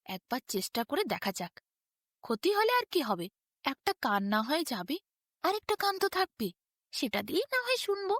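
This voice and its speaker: A woman's voice: high voice, big pitch swings, a bit bright, no echo, clean studio sound. A woman voicing a lazy but crafty barber spinning tall tales in a sly, boastful way. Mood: scheming. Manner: sly, boastful, drawn-out storytelling.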